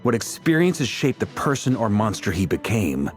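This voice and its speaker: A man voicing a courteous, earnest strategist in a video game, speaking politely and deliberately with a thoughtful, slightly naive air. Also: lowish voice, very animated. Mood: serious, courteous. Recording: game music behind the voice.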